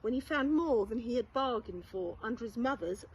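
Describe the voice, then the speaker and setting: A woman reading a children's storybook aloud expressively, like a primary school teacher, with measured, deliberate phrasing. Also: a little high, expressive, slight background noise, low-quality recording.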